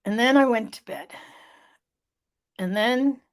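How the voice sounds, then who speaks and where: Slow-talking stuffy middle-aged woman. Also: a little high. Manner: slow, storytelling, emphatic repetition. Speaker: vlog host. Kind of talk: live vlog reflection.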